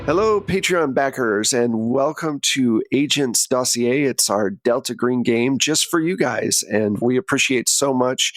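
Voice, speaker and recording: A man's voice: mid-range voice, a little slow, few pauses, a bit bright, soft background music. A man hosting and narrating a tabletop game in a conversational style as game master.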